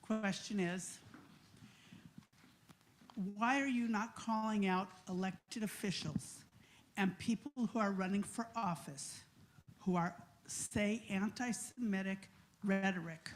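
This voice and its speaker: A woman pressing a pointed question from the floor at a public forum, forceful and insistent as she repeats her point. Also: medium pitch, slow, a little hiss or hum. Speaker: chaplain and audience questioner.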